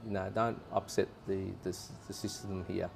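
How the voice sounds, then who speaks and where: A man's voice: low voice, level tone, moderate pace, gravelly, faint music behind. A man from Australia, a guest in a casual interview, earnestly giving plain, repeated advice to travelers.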